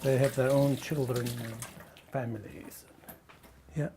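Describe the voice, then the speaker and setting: A man's voice: mid-range voice, fairly even intonation, unhurried. A middle-aged man from Algeria, not a native English speaker, chatting casually and hesitantly with repeated words. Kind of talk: casual conversational recollection. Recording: clearly noisy documentary location sound.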